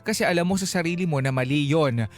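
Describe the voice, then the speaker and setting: A man's voice: on the high side, fast. A Filipino man hosting a casual radio advice show, conversational and admonishing as he reads comments and counsels. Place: radio studio. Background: background music.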